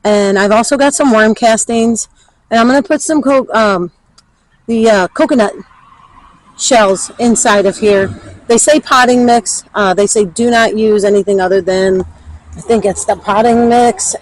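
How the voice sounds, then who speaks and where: A woman's voice: a little high, measured pace, a little mellow, poor audio. A woman chatting casually and thinking aloud as she demonstrates for a garden vlog. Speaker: garden vlogger.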